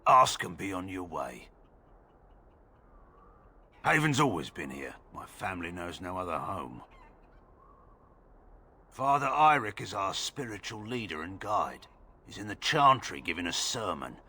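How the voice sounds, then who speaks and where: A man's voice: low voice, very animated, a little slow, long pauses, sharp tone, breathy edge, full character voice. A man playing a suspicious, unwelcoming village guard in a video game, curt and formal. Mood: dismissive.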